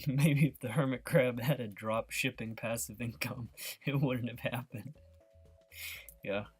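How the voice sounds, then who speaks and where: Music plays behind a man with a middling-pitched voice. He talks like a livestreamer at work on his art, informal and rambling. He is quick to giggle as he chats with whoever is watching. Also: steady tone, breathy edge, dry recording. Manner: giggly, breaks into laughter often. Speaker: livestreamer, artist hosting a live painting stream. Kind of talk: casual chat to live viewers.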